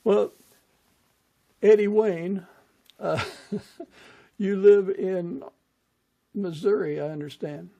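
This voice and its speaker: A man's voice: high voice, fairly even intonation, very slow, vocal fry, pauses often, dark tone, dull audio. An older man hosting a podcast, chatting casually as he interviews a guest. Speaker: podcast host.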